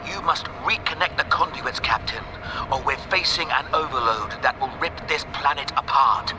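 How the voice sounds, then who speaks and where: An actor playing an imperious, scheming Inquisitor in a video game, formal and commanding, speaking in precise, curt phrases. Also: male voice, medium pitch, normal speed, background noise, close to an everyday voice. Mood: urgent, commanding. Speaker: Inquisitor character. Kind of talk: video game cutscene acting.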